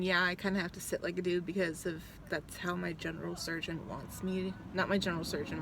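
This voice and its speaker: Gravelly-voiced blunt woman vlogger. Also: lowish voice, noisy background. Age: 30s. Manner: blunt, swears freely, many ums. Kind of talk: casual rambling vlog chat. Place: outside a doctor's office.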